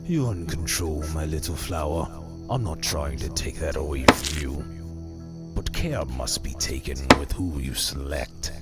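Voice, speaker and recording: Super deep dead ghost mentor, calm and cold, loud music behind. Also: male voice, heavily acted. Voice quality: very deep, low voice. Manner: calm, measured warnings. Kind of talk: audio drama acting.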